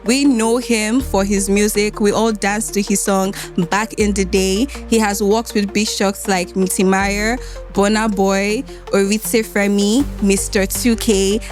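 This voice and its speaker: Female Nigerian podcast host, mid-pitched, unhurried and formal, soft music bed. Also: fairly even intonation. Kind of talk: podcast introduction and interviewing. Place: podcast studio.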